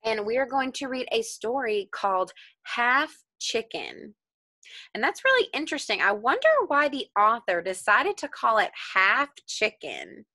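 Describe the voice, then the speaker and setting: A young woman with a very bright, forward voice leads a read-aloud lesson for small children. She sounds like an elementary teacher, animated and encouraging, speaking at a medium pitch. The sound is dry and close, with little room around it. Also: no room sound. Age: young adult.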